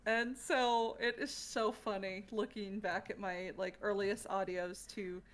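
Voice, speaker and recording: A woman chatting casually as a podcast guest, chatty and quick to react. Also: mid-range voice, fairly even intonation, slight background noise. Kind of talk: casual chat.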